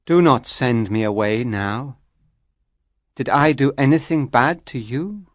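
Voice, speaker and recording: A woman's voice: a bass voice, lively intonation, slow pace, no room sound, pitched below the performer's own voice, full character voice. A woman voicing the fisherman's soul, a timid male spirit who pleads and sounds afraid. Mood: pleading. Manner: pleading, fearful.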